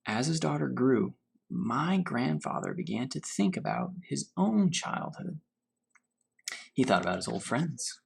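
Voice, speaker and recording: A man reading a storybook aloud as a teacher for children, pausing to put questions to his young listeners. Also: medium pitch, sing-song, vocal fry, slightly rough. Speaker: teacher reading to fourth graders.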